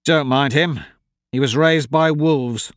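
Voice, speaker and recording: A man's voice: a little high, fairly even intonation, takes it slowly, no echo, studio quality, pitched above the performer's own voice. A man voicing a good-natured, optimistic adventurer in a fantasy audiobook, earnest and persuasive. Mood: upbeat, persuasive.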